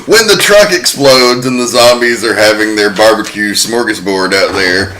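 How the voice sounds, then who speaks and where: A man hosting a casual podcast chat about a film, leading the segments in a relaxed, chatty way. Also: on the low side, normal speed, poor audio. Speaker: podcast host.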